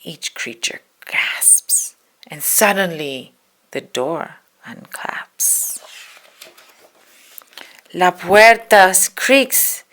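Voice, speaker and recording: A woman reading a spooky children's poem aloud in a dramatic, hushed storytelling manner, stretching words and dropping into whispers. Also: lowish voice, expressive, extremely slow, pauses often. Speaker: storybook reader.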